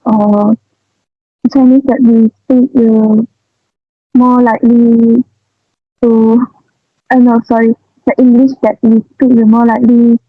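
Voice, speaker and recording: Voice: medium pitch, no room sound, narrow, tinny audio. A student answering interview questions hesitantly, correcting themself along the way.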